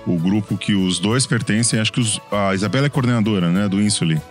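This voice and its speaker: A man's voice: lowish voice, fast, soft background music. A man with a São Paulo accent hosting a history podcast in a friendly, chatty way, often restarting his phrases. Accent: São Paulo Portuguese. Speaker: podcast host. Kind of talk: casual podcast chat.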